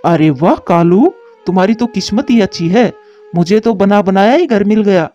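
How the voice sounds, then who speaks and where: A sly, lazy crow from a children's cartoon, played by a man, with a high and soft-edged voice. He sounds selfish and crafty, on a slightly dull track with faint music underneath. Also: animated, normal speed. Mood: smug. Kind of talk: Hindi children's moral story cartoon dialogue.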